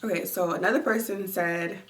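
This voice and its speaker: A woman's voice: lowish voice, fairly even intonation, brisk. Congested young woman chatting at home. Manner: rambling, chatty, with many fillers. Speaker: vlogger. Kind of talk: casual chat reading viewer comments. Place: at home, lying down.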